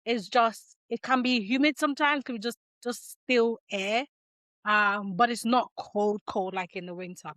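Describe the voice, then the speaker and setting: Woman in her thirties, from Nigeria, slow unhurried delivery, crisp bright tone, reflective, video call. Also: fairly high pitch, no room sound. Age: 30s. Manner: measured, reflective, with frequent ums and restarts. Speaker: podcast guest. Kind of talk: casual interview chat.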